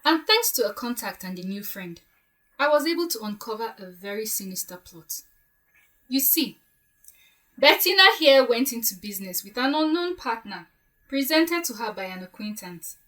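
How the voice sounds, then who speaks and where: A woman playing a shrewd, assured woman who deliberately lays out a case in a story drama. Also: on the high side, fairly bright tone, far from the mic. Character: shrewd woman calmly exposing a plot.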